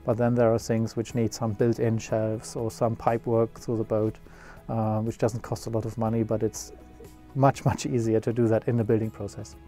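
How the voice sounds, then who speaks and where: German man with a mellow voice. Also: fairly low pitch, flat delivery, normal speed, faint music behind. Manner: thoughtful, many fillers and restarts. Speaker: yacht builder CEO. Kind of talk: casual conversation on a sailboat. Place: outdoors on a sailboat cockpit.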